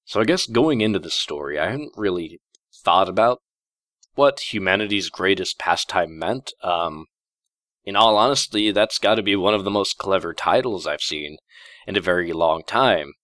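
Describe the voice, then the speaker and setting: A man with a low, deep voice talks casually to his audience as the host of a channel. His delivery is unscripted and conversational, wandering a little as he goes. The sound is dry and close, with very little room around it. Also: expressive, moderate pace, a bit bright, dry recording. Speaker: host of a story-reading channel. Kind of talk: casual unscripted commentary to listeners.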